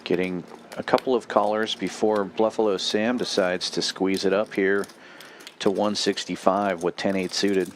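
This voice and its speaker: A man calling live poker action as a stream commentator, in short, steady phrases. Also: low voice, moderate pace, slight background noise.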